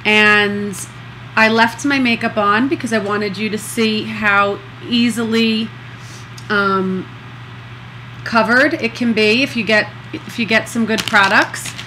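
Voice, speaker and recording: A middle-aged woman in a casual video diary, talking slowly and earnestly with a congested nose and many pauses. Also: fairly high pitch, steady tone, bright, forceful tone, a little hiss or hum, poor audio. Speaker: vlogger recovering from nose surgery.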